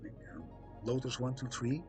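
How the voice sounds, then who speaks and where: A man live-streaming, chatting casually with viewers, reading chat aloud with a soft, soothing voice and self-deprecating humour. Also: medium pitch, moderate pace, faint music behind.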